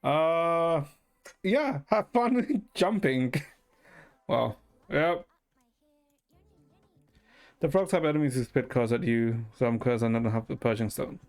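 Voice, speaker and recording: A man's voice: medium pitch, expressive, slow, pauses often, a little mellow. A man streaming a game and chatting casually with viewers, thinking aloud hesitantly with some mild swearing. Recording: music playing behind the voice. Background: music.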